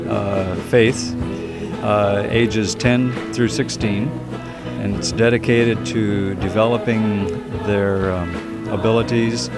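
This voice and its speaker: A man who directs a youth organization, answering an interview in a measured way with many pauses. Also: on the low side, steady tone, over loud music.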